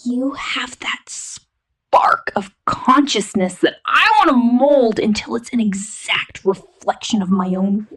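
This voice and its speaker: Woman whispering as a menacing, breathy robot girl. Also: fairly high pitch, fairly bright tone, heavily acted. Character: menacing, possessive young female killer robot drone, megalomaniac yet lonely. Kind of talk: ASMR roleplay, intimate whispered monologue.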